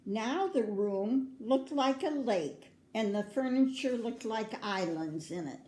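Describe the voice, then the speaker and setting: An older woman with an American accent reads a picture book aloud to children in a grandmotherly way, pausing to put questions to her young listeners. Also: on the high side, animated, a little mellow. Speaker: children's story reader. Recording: roomy, echoing indoor sound.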